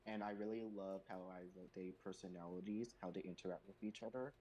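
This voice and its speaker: A man with a fairly low voice hosts a casual online Q&A. He talks as a comic creator in a group call, with some noise behind him. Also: fairly even intonation, fast talker, dark tone, dull audio. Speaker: comic creator hosting a Q&A stream. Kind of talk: casual group stream chat. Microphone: voice call microphone. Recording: noticeable background noise.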